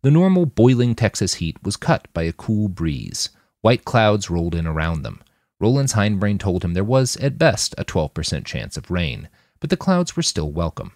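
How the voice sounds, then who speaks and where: A man reading a scripted story aloud as an expressive audiobook-style narrator. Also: sits low, moderate pace, dry recording, studio quality. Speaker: author reading own fiction podcast.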